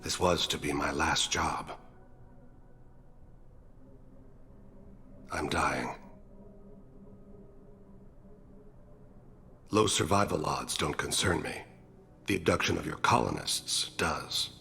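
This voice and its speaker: An actor playing a courteous, philosophical alien assassin who is dying, with a gravelly voice and a formal, measured, solemn manner in a video game. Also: male voice, a bass voice, sharp tone, soft background music, full character voice. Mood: grave, resolute. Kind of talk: video game cutscene acting.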